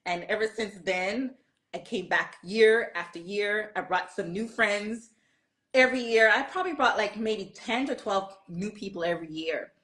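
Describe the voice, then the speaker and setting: Animated middle-aged woman storytelling. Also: mid-range voice, moderate pace. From Toronto, Canada. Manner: animated storyteller, acts out quotes. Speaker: guest. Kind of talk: casual storytelling chat.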